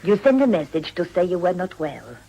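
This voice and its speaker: Shrewd, condescending madam for period radio drama; husky, slightly low voice. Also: female voice, big pitch swings, fairly quick, dark tone, full character voice, rough recording. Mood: brisk, cynical. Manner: brusque, knowing, grandly condescending. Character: worldly, shrewd foreign madam, cynical and imperious.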